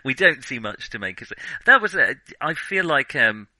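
A man's voice: medium pitch, very animated, moderate pace, pushed and bright, no room sound, rough recording. A man co-hosting a casual film discussion, thinking aloud in a tentative way. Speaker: film discussion podcast host.

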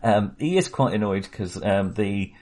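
Husky, rambling man. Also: lowish voice, lively intonation, a little slow, a little mellow. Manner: rambling, hesitant, chuckles often. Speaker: podcast host. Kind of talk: casual film discussion.

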